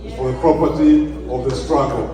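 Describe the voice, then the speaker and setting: A man introducing members of a party team to a gathering, in a plain, measured way with some fillers. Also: mid-range voice, fairly even intonation, a crowd in the background. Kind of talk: speech to a gathering. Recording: echoey hall, from far off.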